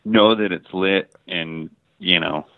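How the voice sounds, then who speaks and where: A man's voice: sits low, slow, dry recording. A middle-aged American man from the Midwest, a photographer chatting casually in an interview, telling stories with lots of fillers and the odd swear word. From Ohio and Michigan, US. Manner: relaxed storytelling, heavy filler words, casual swearing. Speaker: guest photographer. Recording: dry, phone-like line.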